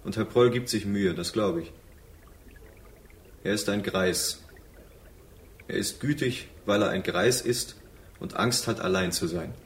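Low-voiced reproachful man actor in radio drama. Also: slight background noise, lightly acted. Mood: bitter, reproachful. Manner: measured, accusing, short deliberate phrases. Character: reproachful, bitter former lover, sober and probing. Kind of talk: German radio drama acting.

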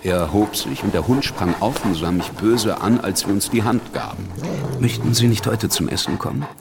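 Deep, gravelly, wry revolutionary politician. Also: male voice, moderate pace, long reverb tail. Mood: reflective. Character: worldly, pragmatic revolutionary politician, wryly recounting events. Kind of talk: German radio drama, first-person narration and dialogue.

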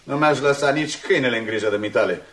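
A man's voice: mid-range voice, a bit bright, close to an everyday voice. An actor playing a haughty, imperious man in a radio drama, clipped, contemptuous and commanding. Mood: scornful. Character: haughty, imperious male antagonist demanding his way. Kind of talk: Romanian radio drama acting. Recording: noisy old radio drama recording.

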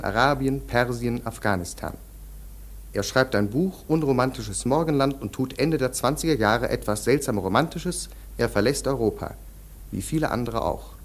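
An actor as a matter-of-fact male narrator in a radio feature. His pitch is in the middle range, and he delivers short phrases in a clipped, factual way. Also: close to an everyday voice. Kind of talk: scripted radio feature, biographical sketch.

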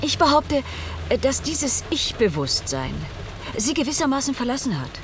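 A woman's voice: high-pitched, big pitch swings, moderate pace, background noise. An actress playing an earnest, composed female scientist who explains things formally and precisely in a German radio play.

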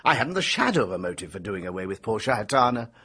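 An actor playing a brisk, affable doctor in a radio drama, with a professional bedside manner. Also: male voice, fairly low pitch, very animated, sharp tone, breathy edge, heavily acted. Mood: wry, subdued. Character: brisk, affable middle-aged male British doctor.

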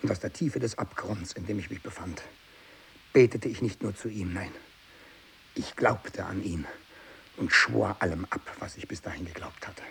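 An actor playing a fanatical, tormented captive missionary turned hateful zealot, in an intense German radio drama monologue from the 1960s. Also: male voice, sits low, moderate pace, creaky. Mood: bitter, hushed. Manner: intense, swinging between hushed muttering and loud declamation. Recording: hissy 1960s radio production.

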